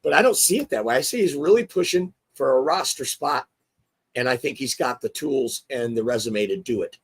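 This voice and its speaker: A hoarse man hosting a casual sports talk show, chatty and excitable. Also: mid-range voice, a little fast. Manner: chatty, excitable, restarts often. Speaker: show host.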